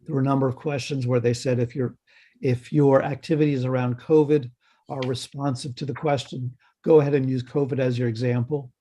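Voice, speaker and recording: A man giving a halting update at a health committee meeting over a video call, slightly hoarse, with many ums and restarts. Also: mid-range voice, little pitch movement, dark tone. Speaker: health department staff presenter.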